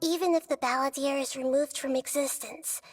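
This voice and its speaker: An actress voicing a gentle, childlike, wise little female deity in a video game, speaking in a measured, thoughtful way. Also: high-pitched, fairly even intonation, slight background noise, natural voice. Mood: solemn. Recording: background music under voice.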